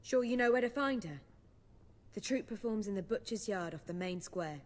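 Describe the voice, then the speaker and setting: An actress playing a spirited, theatrical young bard and playwright in a video game, brisk, articulate and expressive. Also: female voice, mid-range voice, big-room sound, natural voice. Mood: defensive, brisk. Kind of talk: video game dialogue acting.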